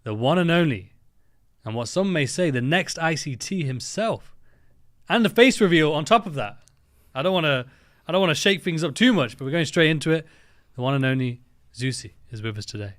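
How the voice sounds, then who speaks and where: A man's voice: fairly high pitch, expressive, a little fast, fairly bright tone, dry recording. Male podcast host, upbeat, filler-heavy delivery, dry close sound. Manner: friendly, enthusiastic, lots of fillers. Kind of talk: podcast hosting.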